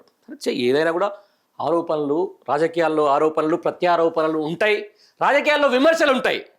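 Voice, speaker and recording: Male news host, middle-aged, Telangana accent. High voice, indignant and emphatic, firing rhetorical questions in live political commentary. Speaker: news show host, journalist.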